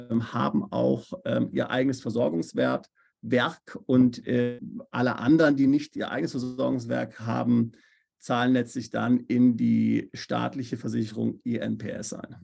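A man's voice: medium pitch, level tone, unhurried, vocal fry, a little mellow, breathy edge. A man advising on taxes in a podcast interview, explaining steadily with frequent fillers and checking "ja?" questions. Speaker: tax advisor. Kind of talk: expert podcast interview answers. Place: based in Italy.

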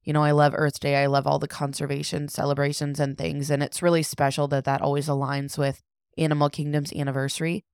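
Deep-voiced woman co-hosting a podcast, congested. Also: little pitch movement, quick pace, darkish tone, clean tone, no room sound, studio quality. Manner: enthusiastic and gushing. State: congested from allergies. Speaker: podcast co-host. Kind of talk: casual chat.

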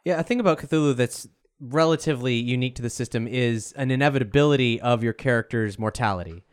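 Mid-pitched man hosting a conversation. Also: fast talker, clean tone. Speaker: podcast host leading the discussion.

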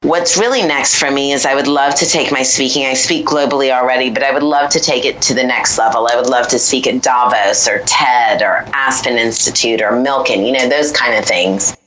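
Very low-voiced woman in an echoey room. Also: brisk, few pauses, a bit bright, a little hiss or hum. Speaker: guest, fundraising advisor. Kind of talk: interview guest talking about her goals.

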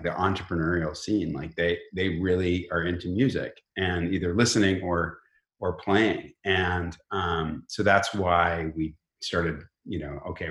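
A middle-aged man talking casually as an interview guest, informal and halting, often rephrasing himself as he goes. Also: deep voice, fairly bright tone, echoey room. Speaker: company chief executive, podcast guest.

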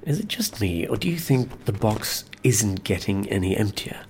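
A man's voice: on the low side, big pitch swings, moderate pace, a little mellow, a little hiss or hum. An actor playing a bewildered, nervous man in an audio drama, groggy and stammering as he talks. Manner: hesitant, stammering. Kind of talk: naturalistic audio drama acting.

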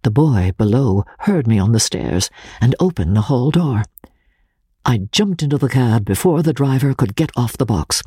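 A woman's voice: a bass voice, moderate pace, breathy edge, dry recording, studio quality. A woman giving a dramatic, theatrical reading of classic fiction as an audiobook narrator. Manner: theatrical, expressive. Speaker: audiobook narrator, podcast host.

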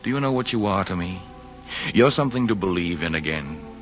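A man's voice: low-pitched, lively intonation, a little fast. An actor playing an ardent, idealistic nobleman in love, speaking earnestly and tenderly in a 1940s radio romance style. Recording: muffled 1940s radio recording with music.

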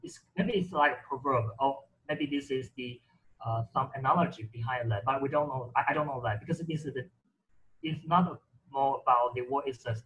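A man's voice: medium pitch, fairly bright tone, rough recording. Hesitant man, Mandarin first language. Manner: hesitant, many restarts and fillers. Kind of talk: casual conversation about reading.

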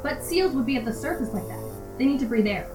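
A woman's voice: sits high, lively intonation, quick pace. A woman acting in an amateur audio drama as a headstrong, enthusiastic know-it-all diver who explains things earnestly. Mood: explaining, puzzled. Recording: roomy sound with music underneath.

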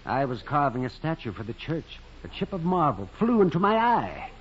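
An actor playing a bitter, excitable half-blind stonecutter in an old radio drama, theatrical and exclamatory. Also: male voice, on the high side, moderate pace, husky. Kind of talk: 1940s radio drama acting. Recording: muffled old radio, crowd behind.

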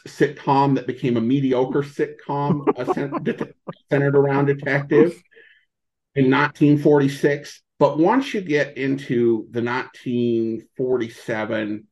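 A man speaking as a podcast guest in casual interview chat, halting as he thinks aloud. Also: medium pitch, a little mellow, low-quality recording. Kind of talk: casual interview chat about old radio shows.

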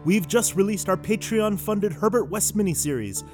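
Higher-voiced man making podcast announcement. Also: expressive, moderate pace, soft background music. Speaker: executive producer.

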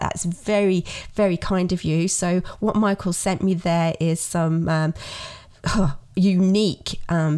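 A woman's voice: on the low side, darkish tone. A woman hosting a podcast in casual chat, slightly nasal from a cold, chatty with frequent ums and laughs. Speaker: podcast host.

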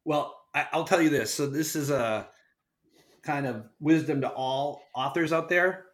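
A man's voice: on the high side, a little slow. A man reading an essay aloud as a podcast guest, in an earnest, emphatic way. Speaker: guest reader.

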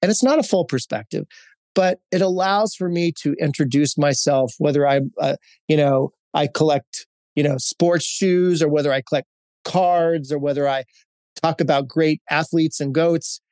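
A man's voice: a little high, moderate pace, a little mellow, no room sound. Candid middle-aged man, dry sound. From Hawaii, United States. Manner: candid, storytelling, with frequent fillers and restarts. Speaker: guest, corporate executive. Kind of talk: reflective interview answers.

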